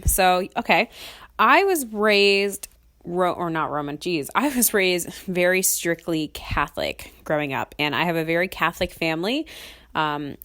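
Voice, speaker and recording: A woman's voice: on the low side, fairly bright tone. A young adult woman hosting a casual solo podcast. She has a cold and sniffles, and she rambles with lots of "um"s and frequent little laughs. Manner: chatty and rambling, laughs often, many ums. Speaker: podcast host.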